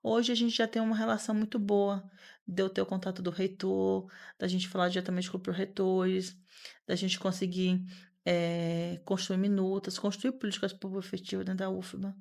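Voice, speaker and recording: A woman in her thirties speaking as a podcast guest, earnest and animated, often repeating words and using fillers as she talks. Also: mid-range voice, moderate pace, creaky. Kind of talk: conversational interview.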